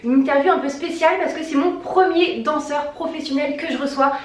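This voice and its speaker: A bubbly woman hosts a relaxed interview, quick to laugh and full of slang. Her voice sits high, and it sounds roomy and set back from the mic. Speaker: interviewer and channel host. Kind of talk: casual interview chat. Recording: echoey room, mic at a distance.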